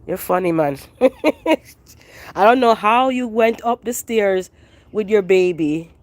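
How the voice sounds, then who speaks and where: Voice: mid-range voice, slow pace, no room sound. A stuffy, nasal-sounding gardener talks through a phone camera in the backyard. The chat is loose and rambling, wandering from topic to topic in an easygoing way. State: congested, nasal from a head cold. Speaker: gardening livestream host. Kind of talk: casual live chat with viewers.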